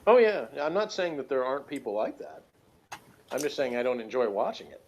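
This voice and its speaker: A man's voice: mid-range voice, big pitch swings, a little fast, lo-fi sound. A man in a casual unscripted discussion as a podcast co-host, hesitant and rambling, often circling back over his phrases. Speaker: podcast co-host reviewing a TV show.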